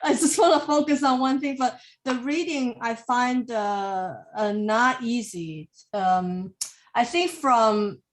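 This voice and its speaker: A woman's voice: a little high, slow. A woman whose first language is Mandarin Chinese, sharing her thoughts in a discussion group with hesitant, filler-heavy English. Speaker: reading group member. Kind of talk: casual discussion.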